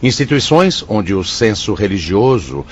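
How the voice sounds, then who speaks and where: Brazilian radio play spirit director, kindly but commanding, very animated pitch, muffled recording w faint noise. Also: male voice, a little slow. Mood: solemn authority. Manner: formal, declamatory. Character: solemn spirit director of an afterlife institute, authoritative, benevolent. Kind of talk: Brazilian religious radio drama acting. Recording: dull lo-fi audio, faint noise.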